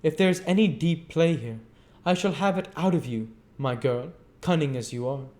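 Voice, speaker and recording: A man voicing a scheming old male gang master, oily and coaxing but quick to fury. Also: a little high, animated, a little mellow, pitched above the performer's own voice, natural voice.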